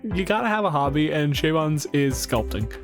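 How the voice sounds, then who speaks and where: A man's voice: a little high, lively intonation, moderate pace. Wry male game master, faint music. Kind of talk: casual narration of a tabletop game. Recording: faint background music bed.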